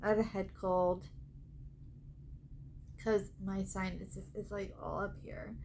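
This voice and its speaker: Woman vlogger, mid pitch, unhurried and chatty, congested with a cold, faint hum behind her. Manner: slow, relaxed, chatty with filler ums. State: has a head cold, scratchy throat. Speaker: travel vlogger and travel agent. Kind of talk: casual vlog to camera. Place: cruise ship cabin.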